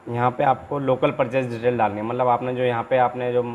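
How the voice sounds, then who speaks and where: A man's voice: medium pitch, little pitch movement, fairly quick, muffled recording. A man teaching informally in an instructional video, explaining paperwork step by step and often repeating himself with small check-in tags. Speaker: trainer and channel host. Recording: clear background noise, room ambience.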